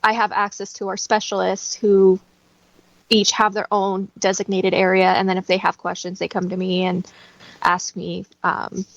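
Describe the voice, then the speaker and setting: A woman's voice: mid-range voice, completely flat delivery, normal speed, gravelly, no echo, lo-fi sound. A woman talking in a casual conversational interview as a guest, explaining things in a chatty way.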